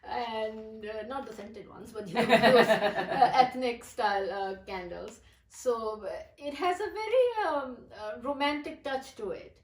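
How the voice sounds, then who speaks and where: A middle-aged woman from Pakistan, her Urdu, who talks as an interview guest with many pauses and fillers. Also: a little high, roomy sound, recorded from a distance. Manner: hesitant, many fillers and restarts. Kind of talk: casual interview.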